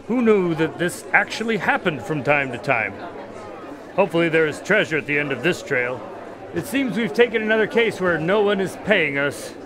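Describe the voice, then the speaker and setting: Radio drama sidekick doctor, played by a man: wry, skeptical, lively intonation, fairly high voice, crowd around. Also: normal speed, close to an everyday voice. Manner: wry, questioning, mildly exasperated. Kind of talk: audio drama dialogue.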